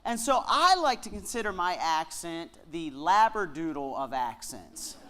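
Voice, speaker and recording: A Canadian man with a slight Southern twang, giving a joking sermon-style lecture with slow, deliberate emphasis on key words. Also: fairly high pitch, very animated, a bit bright, rough recording. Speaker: guest speaker at a Bible lectureship.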